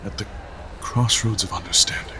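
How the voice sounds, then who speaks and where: A man's voice: lowish voice, fairly even intonation, normal speed, background noise, natural voice. An actor playing a proud, guarded male paladin leader who speaks tersely. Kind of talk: audio drama acting. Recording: music bed underneath.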